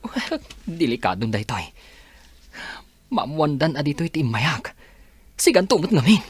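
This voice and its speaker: Husky, animated, bossy voice. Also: male voice, fairly high pitch, measured pace, dry recording, heavily acted. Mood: alarmed, scolding. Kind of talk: radio drama acting.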